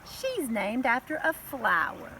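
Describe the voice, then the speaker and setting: A woman's voice: fairly high pitch, huge pitch swings, takes it slowly, pushed and bright, a little hiss or hum, full character voice. A woman voicing a snooty little schoolgirl with a pointed, know-it-all manner in a storybook. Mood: snide.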